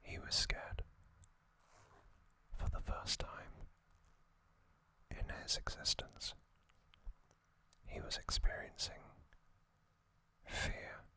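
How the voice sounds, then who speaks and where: Man, whispered ASMR storytelling, very slow, deliberate short phrases, hushed delivery. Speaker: ASMR host. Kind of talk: whispered ASMR scripted narration.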